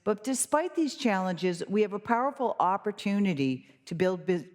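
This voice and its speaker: A woman moderating a conference panel who briskly prompts the speakers and delivers prepared remarks. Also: medium pitch, lively intonation, darkish tone. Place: conference hall. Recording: reverberant conference hall.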